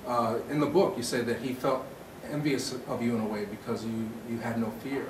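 A man's voice: mid-range voice, little pitch movement, a little fast. Echoey, distant man asking hesitant questions. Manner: hesitant, tentative, rephrasing questions. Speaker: interviewer. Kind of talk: unscripted interview questioning. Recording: echoey, distant mic, noisy background.

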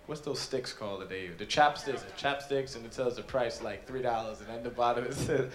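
A man's voice: medium pitch, brisk. A man doing brash, profane stand-up comedy, talking conversationally and teasing a live audience. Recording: noisy live club, loud crowd, roomy echo.

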